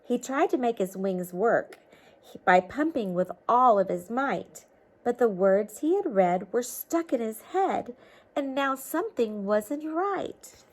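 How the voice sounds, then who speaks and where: A woman gives an expressive read-aloud for young children in a fairly high voice that rises and falls widely. She takes her time, stepping out of the story to coax listeners with simple questions. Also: takes it slowly. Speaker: teacher reading a picture book to children.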